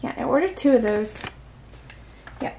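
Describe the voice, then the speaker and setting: A woman's voice: on the high side, lively intonation, moderate pace, a little mellow, slight background noise. A woman chatting casually through an unboxing, giggly and full of little exclamations as she talks to herself. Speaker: unboxing vlogger. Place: indoors at a tabletop.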